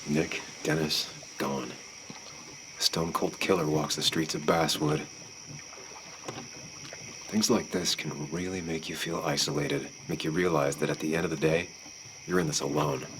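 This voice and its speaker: An actor playing a weary, earnest investigator in a video game drama, measured and brooding. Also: male voice, extremely low pitch, steady tone, noisy background. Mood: brooding, pensive. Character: weary adult male former investigative journalist, brooding and earnest. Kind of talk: dramatic game voice acting with inner monologue. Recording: music behind the voice. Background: clear background music.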